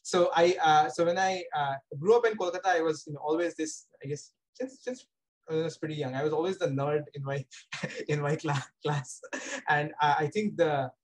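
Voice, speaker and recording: A man's voice: fairly high pitch, normal speed. A young man with an Indian accent, a guest astronomer telling his story in a casual interview, rambling with many fillers and restarts. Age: young adult.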